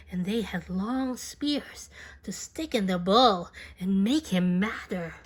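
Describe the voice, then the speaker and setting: A woman reading a children's picture book aloud as an expressive storyteller, unhurried and speaking straight to a young listener. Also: mid-range voice.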